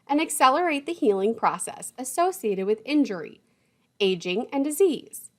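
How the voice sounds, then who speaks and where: Higher-pitched woman narrating a scripted lesson. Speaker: lecturer.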